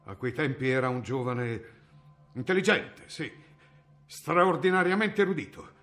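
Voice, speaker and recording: An actor playing a timid, pious, learned medieval friar in a radio drama, speaking in a halting, deferential way. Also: male voice, medium pitch, animated, fairly bright tone, soft background music. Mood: hesitant, reflective.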